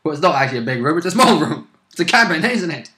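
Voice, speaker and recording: A man's voice: on the high side, very animated, quick pace, sharp tone. A man chatting casually about a tabletop roleplaying game as a player and co-host. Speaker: player co-hosting a game recap. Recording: echoey room.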